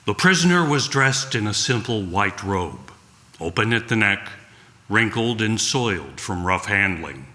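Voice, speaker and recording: A man narrates a solemn sacred drama in a forceful, bright voice. He takes it slowly and deliberately, with theatrical weight, in a room with plenty of echo. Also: on the low side, sing-song. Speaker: church service reader. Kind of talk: dramatic scripted narration of a Passion story. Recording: echoey room sound.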